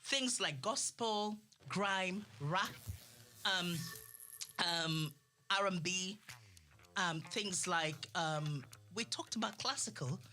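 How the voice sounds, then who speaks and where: Middle-aged woman, bright forceful voice, enthusiastic, emphatic, radio guest, faint music behind. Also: lowish voice, slow pace. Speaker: guest, awards organiser promoting an event. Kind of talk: radio interview conversation.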